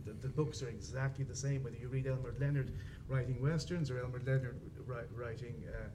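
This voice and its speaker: A man's voice: mid-range voice, fairly even intonation, a little fast, noisy background. An Irish man with a Dublin accent, talking discursively and hesitantly as an author on a panel. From Dublin, Ireland. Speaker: panellist, crime writer.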